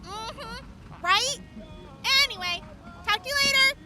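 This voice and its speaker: A woman's voice: extremely high pitch, takes it slowly. A woman playing a friendly, tough young raider medic in an audio drama, casual, upbeat and caring. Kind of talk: post-apocalyptic audio drama acting.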